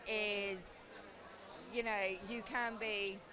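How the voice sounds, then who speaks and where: A middle-aged British woman hosting a panel, asking questions in a relaxed, conversational way. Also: medium pitch, very slow. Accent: British English. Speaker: panel host, interviewer. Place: outdoor festival stage with crowd. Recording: live event, crowd noise behind.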